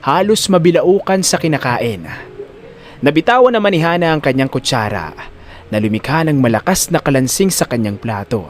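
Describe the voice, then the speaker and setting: Guy narrating an audio drama, dramatic, lively swings in pitch, a little noise behind. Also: normal speed.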